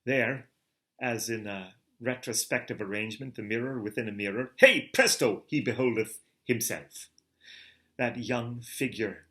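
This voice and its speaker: A man, a theater director, reading a classic novel aloud with an expressive, theatrical delivery. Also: medium pitch. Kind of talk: dramatic literary reading.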